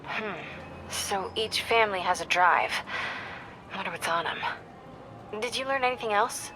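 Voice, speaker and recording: A woman's voice: mid-range voice, normal speed, bright, forceful tone, a little hiss or hum, close to an everyday voice, low-quality recording. An actress playing a cool, self-possessed young woman in a superhero video game, with an American accent. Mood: dry, thoughtful.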